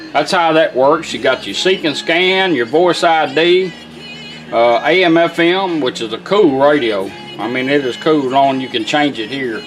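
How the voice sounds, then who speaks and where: A man with a slightly raised pitch gives a hands-on demonstration of a product. His phrasing is informal and folksy, like a tradesman showing off his work. Guitar music plays faintly behind him. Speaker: product demonstrator, car radio builder.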